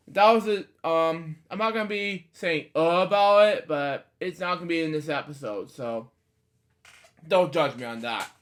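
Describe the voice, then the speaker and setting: Male host, 20s, voice a bit high, halting casual read-aloud with rambling asides. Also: fairly bright tone. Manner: halting, stumbles over words while reading; rambling asides with repeated catchphrases. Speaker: host of a story reading series.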